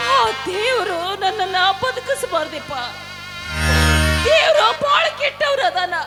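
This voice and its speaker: A woman's voice: very high-pitched, animated, unhurried, loud music behind the voice. A woman playing a grieving village mother who laments in a wailing, sobbing voice with a North Karnataka Kannada accent. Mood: wailing grief. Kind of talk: stage drama acting.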